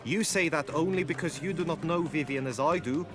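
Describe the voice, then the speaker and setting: A man's voice: a little high, fairly quick, natural voice. A man playing an earnest, lovestruck, chivalrous knight in a game, speaking formally and romantically. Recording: music behind the voice. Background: game music.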